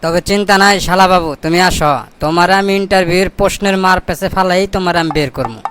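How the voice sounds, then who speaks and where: A man's voice: high voice, quick pace, a bit bright, clear, resonant tone. A man voicing a scheming, self-important corrupt boss in a comic cartoon, pompous and sly, with colloquial Bangladeshi speech. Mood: scheming aside. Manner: pompous, mock-formal, sly.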